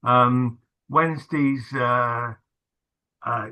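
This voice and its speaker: A man's voice: mid-range voice, steady tone, drawn-out words, sharp tone, clear, resonant tone. A man hosting a casual conversation, hesitant with many fillers and restarts. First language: not English. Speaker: host. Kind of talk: casual video-call chat.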